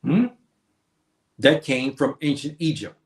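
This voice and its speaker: A man's voice: medium pitch, slow, echoey room. A man hosting a stream, lecturing on history in a preachy way and posing questions that he answers himself. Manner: preachy, asks and answers his own questions. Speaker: host. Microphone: streaming setup.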